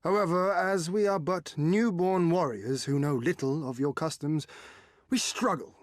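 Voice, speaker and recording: An actor playing a composed foreign warrior in a video game, guarded and diplomatic, with formal, old-fashioned speech. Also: male voice, on the high side, no echo, studio quality, natural voice. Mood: measured, diplomatic. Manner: measured, formal, archaic speech. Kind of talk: video game cutscene acting.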